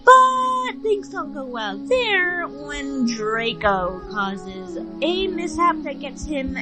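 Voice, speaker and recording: A woman's voice: high voice, sing-song, very slow, over loud music. A woman hosting a casual, chatty story recap in an informal, playful way. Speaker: host giving a recap.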